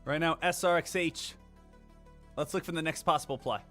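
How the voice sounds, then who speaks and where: A man's voice: a little high, moderate pace, sharp tone, soft background music. A man hosting a live day trading stream, chatting casually with viewers in a brash, joking, crude way. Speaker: day trading livestream host.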